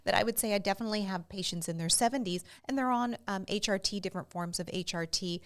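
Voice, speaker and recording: A woman's voice: medium pitch, fast. A woman in her early fifties, a doctor co-hosting a health podcast in a casual, personal conversational style. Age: early 50s.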